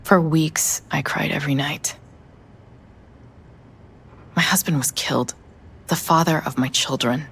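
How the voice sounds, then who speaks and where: An actress playing a worn-down, bitter widowed mother of three in a video game, her voice low and husky. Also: measured pace, pauses often, fairly bright tone, full character voice. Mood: bitter, grieving.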